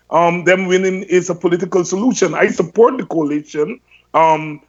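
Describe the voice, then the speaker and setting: A man speaking as a guest on an online panel discussion, measured and thoughtful, often pausing with "um". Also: high-pitched. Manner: measured, thoughtful, many ums. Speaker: panel guest, party member. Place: home room, indoors. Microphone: video call. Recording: dull lo-fi video call audio.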